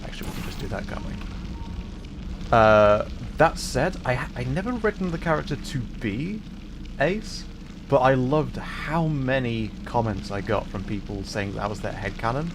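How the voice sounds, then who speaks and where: A man's voice: mid-range voice, lively intonation, moderate pace, over music. A man chatting casually while livestreaming a video game, musing and hesitant as he thinks aloud. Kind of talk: casual gaming stream chat.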